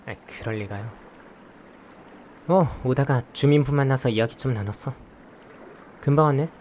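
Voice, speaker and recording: A man's voice: medium pitch, measured pace, pauses often, a little mellow, slight background noise, lightly acted. A man playing a guarded young investigator in a visual novel, narrating and talking in a subdued, hesitant way. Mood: hesitant, polite. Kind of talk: scripted first-person narration and dialogue. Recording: clear night insect ambience behind voice.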